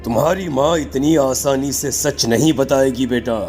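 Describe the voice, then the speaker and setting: A man playing a stern occult holy man in a horror cartoon, speaking gravely and accusingly. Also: mid-range voice, unhurried, a little mellow, soft background music, natural voice.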